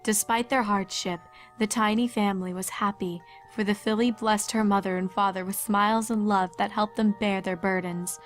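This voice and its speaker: Woman narrating a story over soft music. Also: mid-range voice, steady tone, moderate pace. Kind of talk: scripted storybook narration of fan fiction. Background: background music.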